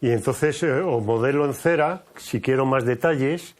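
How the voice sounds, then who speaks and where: A man's voice: medium pitch, normal speed. A retired man in his sixties, a sculptor and former engineer, chatting casually outdoors and repeating words as he thinks. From Spain. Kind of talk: casual chat. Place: outdoors on a village hillside.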